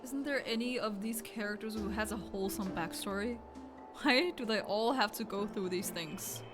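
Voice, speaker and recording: A woman's voice: medium pitch, normal speed. A woman streamer giving casual live reactions to a game. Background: game music.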